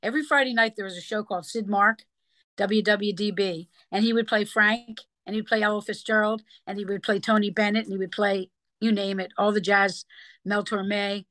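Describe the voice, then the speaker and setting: A woman singer telling stories in a casual interview, animated and given to scatting. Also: medium pitch, moderate pace, dry recording. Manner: animated storyteller who breaks into scat sounds. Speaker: singer and guest.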